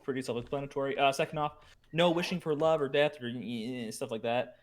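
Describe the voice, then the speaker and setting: A man's voice: medium pitch, brisk, lightly acted. A man playing a brash, impatient wish-granting spirit with slangy salesman's patter in a comedic reading. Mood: casual, insistent. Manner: slangy, exasperated dude-speak.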